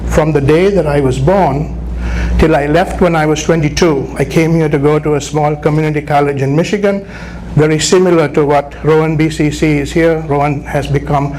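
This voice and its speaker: A man's voice: on the high side, darkish tone, low-quality recording. A middle-aged man with a Sri Lankan accent gives a conversational motivational speech to an audience, often checking in with "right?". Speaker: guest motivational speaker. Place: live talk at a business chamber event. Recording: clear background noise, live event.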